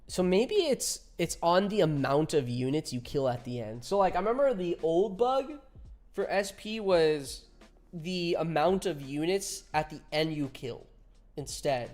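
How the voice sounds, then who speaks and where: A man's voice: a little high, slow pace, a little mellow. A man in his twenties chatting casually with viewers while streaming a game, laughing often and reading chat aloud. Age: 20s.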